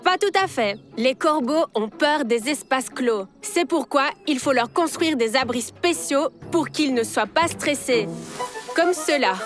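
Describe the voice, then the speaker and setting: A woman's voice: sits high, expressive, unhurried. A woman voicing a clever, eager young boy who leads his friends and confidently explains plans in a dubbed cartoon. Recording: cartoon music score behind.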